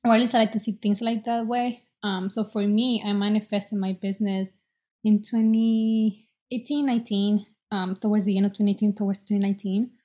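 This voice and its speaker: A woman's voice: mid-range voice, flat delivery, a little fast, mellow. Woman in her twenties from El Salvador, interview guest, earnest and hesitant, slightly muffled recording. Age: 20s. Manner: hesitant, many "um"s, earnest and reflective. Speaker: guest, business owner. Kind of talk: casual interview conversation.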